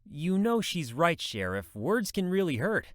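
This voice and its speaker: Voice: medium pitch, sing-song, unhurried, no room sound, clean studio sound. A voice actor playing a friendly, eager, dim-witted male police officer in a horror audio drama. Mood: earnest, silly. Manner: eager, folksy, bumbling.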